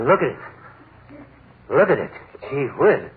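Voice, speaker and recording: A boastful, bumbling middle-aged husband in a 1940s radio comedy. He is blustery and excitable, and his voice swings widely up and down. It sounds tinny and hissy, like an old broadcast. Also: medium pitch, a little slow. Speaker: Fibber McGee.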